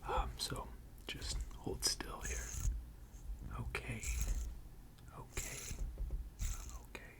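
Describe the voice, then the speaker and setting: Someone doing asmr roleplay, really slow breathy whisper, gentle and reassuring. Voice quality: breathy, mostly unvoiced whisper. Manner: gentle, reassuring, unhurried, hesitant pauses. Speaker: ASMR creator role-playing a robot repair technician.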